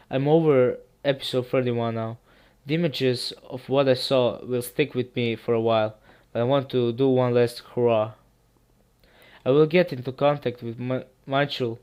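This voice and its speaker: Smooth-voiced man. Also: mid-range voice. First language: Serbian. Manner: earnest, steady reading with small restarts. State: sick. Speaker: YouTube narrator. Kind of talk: first-person horror story narration.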